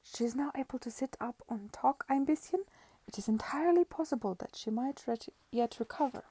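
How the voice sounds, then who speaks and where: A woman voicing an excitable male doctor in a period audiobook. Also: high-pitched, brisk, husky, no echo, voice pushed up. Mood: excited.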